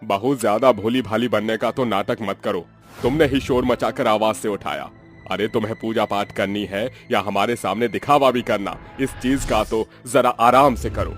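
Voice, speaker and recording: A man acts out an older father-in-law in a cartoon family story. His voice is low and stern, scolding and disdainful, with music playing underneath. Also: normal speed, natural voice. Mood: irritated. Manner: complaining, scolding.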